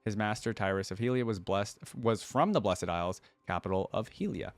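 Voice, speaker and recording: Low-pitched man, casual reactions, dry close sound. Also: fairly quick, no room sound. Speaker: host of a game reaction video. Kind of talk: casual commentary, reading aloud and reacting.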